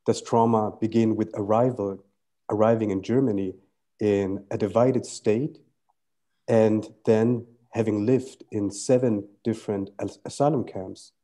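A man in his thirties from Sri Lanka, a Tamil native speaker, speaking in measured, poetic phrases full of rhetorical questions. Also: on the low side, fairly even intonation, warm tone. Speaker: poet guest. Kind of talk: reflective literary conversation.

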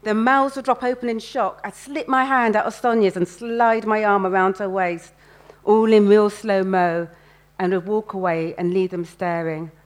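A woman giving an expressive first-person reading of a story at a live event. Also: medium pitch, moderate pace. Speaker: writer reading own fiction.

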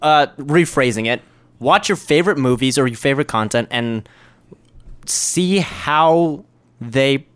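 A man's voice: medium pitch, expressive, unhurried, smooth. Joking man in a casual group chat. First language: Vietnamese and English speaker. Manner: joking, teasing. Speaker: panel member.

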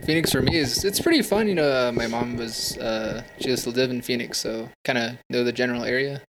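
A young man who plays bass answering interview questions in an easygoing way, with many uhs. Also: brisk. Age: young adult. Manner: easygoing, filler-heavy. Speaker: bass player. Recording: faint ambient noise.

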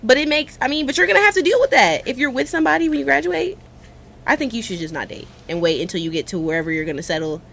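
A young woman from Cleveland co-hosting a talk show, chatting casually with lively, swooping pitch and frank, profane language. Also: fast talker, gravelly. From Cleveland, Ohio. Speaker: co-host. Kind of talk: casual chat.

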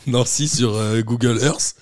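A man's voice: medium pitch, fairly even intonation, measured pace, no echo. A middle-aged man with a French accent hosting a casual chat, asking questions and telling anecdotes in a teasing, informal way. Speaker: podcast host.

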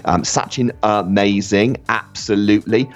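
This guy's a radio host, low and a bit husky, super upbeat, reading listener messages, dull lo-fi sound with a little music under it. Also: measured pace. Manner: enthusiastic, full of praise. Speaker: radio presenter. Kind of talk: school radio show, reading out listener comments.

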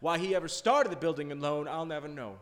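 A principled, defiant young small-town man, acted by a man in a radio play. His high voice is plain-spoken and impassioned, with long hall reverb around it. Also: fast talker, lightly acted. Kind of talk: live radio play acting. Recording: echoey hall, live stage performance.

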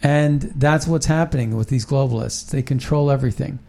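A man's voice: medium pitch, normal speed, gravelly, mellow. A man hosting a casual political talk show, rambling and emphatic, often restarting his sentences.

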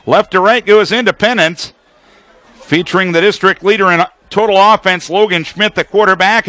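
A male American radio announcer doing live football play-by-play. His voice sits high and has a bright, forceful edge. He lays out the action in precise, clipped phrases. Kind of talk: live sports play-by-play commentary.

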